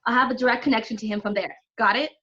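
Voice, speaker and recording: A woman playing a brisk, commanding young resistance tech fighter in a sci-fi audio drama. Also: on the high side, steady tone, bright, forceful tone, slightly rough, lo-fi sound. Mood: urgent. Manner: brisk, instructive.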